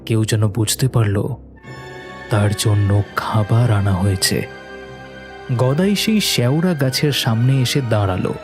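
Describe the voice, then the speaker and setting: A man's voice: fairly low pitch, a little slow, long pauses. A man narrating a scripted horror story for a cartoon in a storytelling style. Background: background music.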